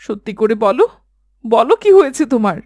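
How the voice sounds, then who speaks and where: A woman's voice: on the high side, big pitch swings, moderate pace, a little mellow, no room sound, clean studio sound. A woman playing a worried, insistent village wife in a horror cartoon. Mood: insistent concern. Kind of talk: dramatic horror cartoon acting.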